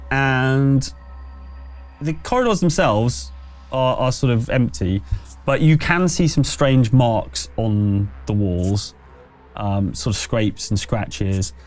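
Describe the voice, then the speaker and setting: A man running a tabletop horror game as game master, improvising descriptions in a halting, conversational way. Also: medium pitch, a little mellow.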